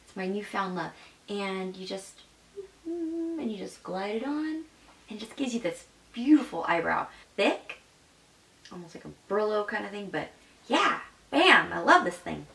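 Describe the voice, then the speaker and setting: A woman's voice: medium pitch, very animated, a little slow, creaky, a bit bright, reverberant, recorded from a distance, low-quality recording. A young woman gushing over beauty products in a casual vlog, demonstrating them as she talks. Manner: bubbly and gushing, demonstrating makeup while talking. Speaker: beauty vlogger. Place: home makeup room indoors.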